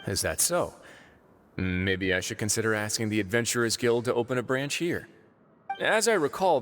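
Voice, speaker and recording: A man playing a calm, wry, authoritative prison overseer in a video game, speaking in a composed, formal way. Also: fairly low pitch. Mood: wry, relaxed. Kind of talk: video game character voice acting.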